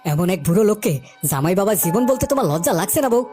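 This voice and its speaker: A woman's voice: medium pitch, expressive, racing, close to an everyday voice. A woman voicing an aggrieved, put-upon parent in a cartoon, plaintive and protesting, over music. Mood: indignant. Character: aggrieved, put-upon father of an unmarried daughter. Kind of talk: Bengali cartoon dialogue. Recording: loud music bed under voice.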